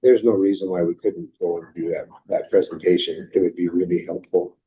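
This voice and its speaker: Deep raspy man on a phone line. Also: fairly even intonation, brisk. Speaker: meeting participant. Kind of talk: council meeting discussion. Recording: tinny phone-line audio.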